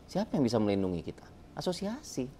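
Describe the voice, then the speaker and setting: A man's voice: mid-range voice, huge pitch swings, quick pace, mellow, a little hiss or hum. A man talking as a guest about bank appraisal work, casual and jokey with many fillers. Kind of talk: casual panel talk.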